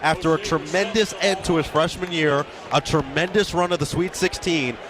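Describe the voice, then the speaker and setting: Fairly high-voiced male basketball analyst. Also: fairly even intonation, moderate pace, a crowd in the background. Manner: emphatic, punchy phrasing. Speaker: colour commentator.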